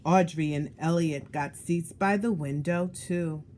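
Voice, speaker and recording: A woman storyteller reading a children's story aloud expressively, with deliberate, emphatic phrasing. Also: low-pitched, a little mellow, slight background noise.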